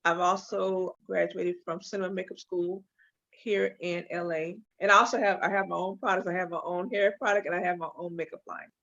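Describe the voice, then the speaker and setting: A woman's voice: lowish voice, brisk, vocal fry. A woman in her forties from Los Angeles, a hair and makeup artist guest telling her story openly in a casual interview. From Los Angeles, California. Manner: open, storytelling, animated at times. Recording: dull lo-fi audio.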